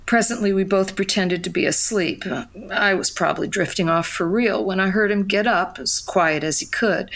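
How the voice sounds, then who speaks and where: An actress playing a regretful young mother who recounts her past in a measured, reflective storytelling style for an audio drama. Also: mid-range voice, creaky, a bit bright, full character voice. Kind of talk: narrated audio fiction, confessional storytelling.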